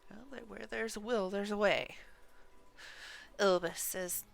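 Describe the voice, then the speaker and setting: A woman streaming a game, commenting casually and reading dialogue aloud in a halting, muttering way. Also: mid-range voice, fairly even intonation, creaky.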